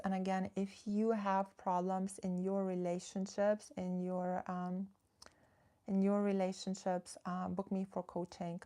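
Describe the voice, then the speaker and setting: Gravelly, mellow-voiced Dutch woman coach. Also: medium pitch. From Netherlands. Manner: chatty and direct, reads viewer comments aloud, coaching advice. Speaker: relationship coach. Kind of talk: casual livestream chat with viewers.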